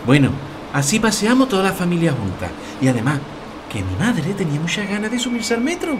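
A man's voice: high voice, wildly swooping pitch, fairly quick. An actor playing a good-natured middle-aged father in an audio drama, conciliatory and colloquial. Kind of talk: audio fiction acting. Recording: clear ambient noise behind voice.

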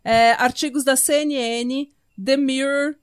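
High-voiced Brazilian woman telling true-crime stories. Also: fairly even intonation, slow pace, clear, resonant tone. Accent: Brazilian Portuguese. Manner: informal, conversational, full of asides. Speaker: podcast host. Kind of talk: casual true-crime storytelling among friends.

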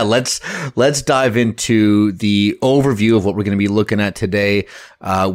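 A Canadian man co-hosting a real estate podcast as a mortgage broker, talking in a relaxed, joking way. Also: lowish voice, no room sound, studio quality. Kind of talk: casual podcast chat.

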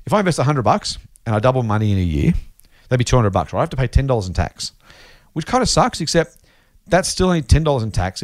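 Fast, lively Australian man hosting a podcast. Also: mid-range voice, no room sound, clean studio sound. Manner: chatty, addresses others as mate. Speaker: podcast host. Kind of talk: casual listener mailbag discussion.

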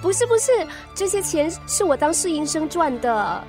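An earnest teenage daughter in a radio drama, with a high, youthful voice. She is hesitant and pleading yet stubborn, with faint music behind her. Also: very animated, normal speed, darkish tone. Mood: earnest, apologetic. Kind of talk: Mandarin radio drama acting.

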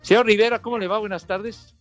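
A man co-hosting a sports talk show, greeting the audience with theatrical, affectionate banter. His voice is quite high, with soft music under it. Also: steady tone, brisk, dry recording.

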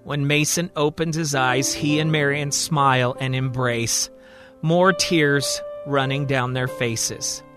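A male storyteller delivers dramatic scripted narration. His voice sits at a medium pitch, with clear music playing behind it. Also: fairly even intonation, takes it slowly.

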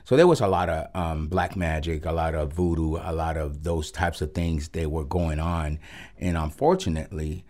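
A man from Rico, a Spanish speaker, giving a halting, reflective personal testimony as a guest pastor on a radio show. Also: extremely low pitch, darkish tone. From Puerto Rico. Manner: halting and reflective, with frequent pauses.

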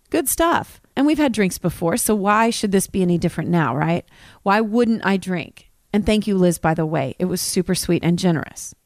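Podcast host, woman in her forties, frank confessional delivery, medium pitch, dead-dry booth sound. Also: no echo. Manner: candid, confessional, emphatic. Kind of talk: personal monologue.

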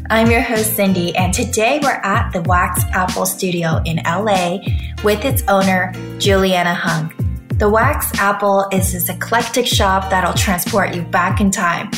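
A woman's voice: mid-range voice, reverberant. A woman hosting a podcast, presenting and interviewing in a casual, conversational style. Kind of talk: scripted intro and casual interview.

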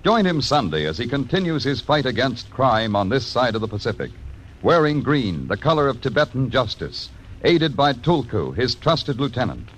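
A man announcing for a 1940s radio network with a lively, formal cadence. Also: mid-range voice, moderate pace, rough recording. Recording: old radio broadcast, faint hiss.

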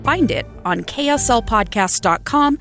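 A woman presenting a promotional spot for her podcast in a clear announcer read. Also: fairly high pitch, animated, a little slow. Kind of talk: radio promo. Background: soft music.

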